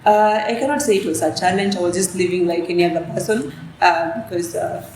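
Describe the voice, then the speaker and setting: Young woman from south sudan, native dinka speaker, lowish voice, hesitant, echoey room with faint hiss. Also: fairly quick. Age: young adult. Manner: soft-spoken, hesitant with many restarts. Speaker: student leader guest. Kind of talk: interview answers.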